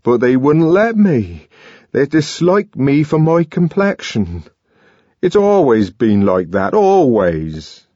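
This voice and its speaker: Man playing an impassioned young man, trembling, loud and raised, lively delivery. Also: medium pitch, slow pace, husky, dry recording, dull audio, voice pushed up, heavily acted. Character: earnest, emotional young man raised apart.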